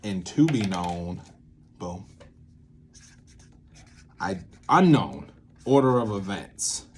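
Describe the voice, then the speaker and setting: A man with a fairly low voice presents an informal creative lesson, improvising as he goes. He rambles playfully, muttering asides to himself, over some faint background noise. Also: lively intonation, drawn-out words, a little mellow. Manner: playful, rambling, thinking aloud with asides to self. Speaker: theatre maker presenting a creative method series. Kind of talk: informal improvised tutorial talk.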